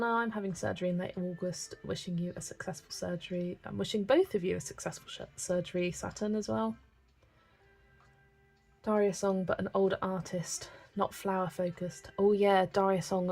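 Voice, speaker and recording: A woman's voice: mid-range voice, normal speed, slightly rough, faint music behind. A woman from England, chatting casually and reading viewer comments while she colours on a livestream. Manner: chatty, relaxed, thinking aloud with small laughs.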